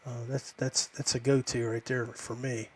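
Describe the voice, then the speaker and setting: A man hosting a podcast in a casual, folksy way, halting and often trailing off. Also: mid-range voice, flat delivery, slightly rough. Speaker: podcast host. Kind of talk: casual livestream chat.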